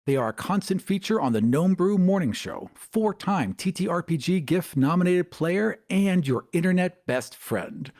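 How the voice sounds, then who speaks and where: A man's voice: high voice, animated, moderate pace, hardly any pauses, darkish tone. A man co-hosting a podcast, introducing the show and chatting casually. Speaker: podcast co-host.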